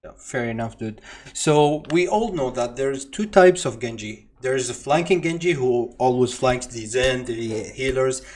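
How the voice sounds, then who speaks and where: A man's voice: medium pitch, lively intonation, a little slow, hardly any pauses, darkish tone. A man who hosts a casual gaming interview in an enthusiastic, slangy and agreeable way. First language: Arabic. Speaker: interviewer and host.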